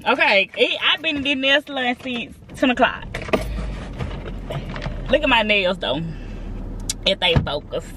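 A woman's voice: a little high, lively intonation, measured pace, pauses often, noisy background. Chatty profane young woman vlogging in a car. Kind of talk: casual vlog chat to camera. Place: inside a parked car. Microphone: handheld camera at arm's length.

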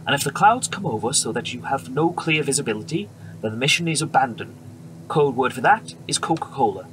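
Brisk British bomber skipper, played by a man, engine noise. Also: mid-range voice, sing-song, lightly acted. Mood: brisk, businesslike. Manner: brisk, reassuring, polite officer. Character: friendly, assured British wartime bomber pilot skipper. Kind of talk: period radio drama acting. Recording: noisy aircraft engine background.

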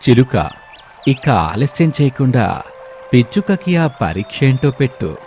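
A man's voice: medium pitch, sing-song, measured pace, darkish tone, faint music behind. A man voicing a commanding, stern eagle who leads the birds in a children's cartoon, in an authoritative, announcing way. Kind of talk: children's cartoon story voice acting.

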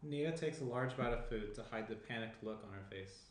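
A man's voice: medium pitch, fairly quick. A young man reading stage directions as the narrator of a screenplay table read. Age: young adult. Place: small indoor room around a table. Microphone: shared tabletop mic, some distance away. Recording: echoey room, off mic.

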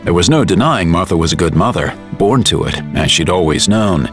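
A man narrating an audio drama in a measured, reflective storytelling style with dramatic pauses. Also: low voice, expressive, music in the background.

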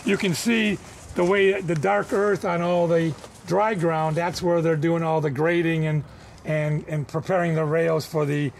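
A middle-aged man with a fairly high voice leads a walking tour outdoors, laying out railcar history in a plain, knowledgeable way. He is recorded on a camera held by a visitor nearby, with clear outdoor noise behind him. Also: level tone, unhurried. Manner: knowledgeable, matter-of-fact. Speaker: museum tour guide. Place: outdoors in a rail yard.

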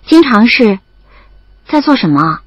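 High, very expressive young female student. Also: measured pace, no room sound. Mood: wry, fond narration. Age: young adult. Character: lively young female student in love, narrating her story. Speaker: narrator and lead actress. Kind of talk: audio drama storytelling and acting. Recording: slightly dull audio over background music. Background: music.

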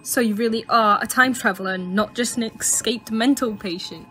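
A woman's voice: on the high side, moderate pace, pushed and bright, soft background music, poor audio. A young woman playing a bold, curious, sceptical university student in a fan audio drama. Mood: curious, teasing.

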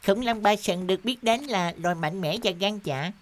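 A woman's voice: mid-range voice, moderate pace, close to an everyday voice. A woman voicing an earnest, bookish little boy in a cartoon, mild and explanatory. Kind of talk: Vietnamese cartoon dub acting. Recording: loud music behind voice.

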